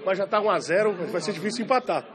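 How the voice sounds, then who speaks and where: An excitable man with a high voice cheers and jokes in a packed pub, shouting over a loud crowd around him. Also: fast talker. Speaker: interviewed superfan. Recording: busy pub crowd behind.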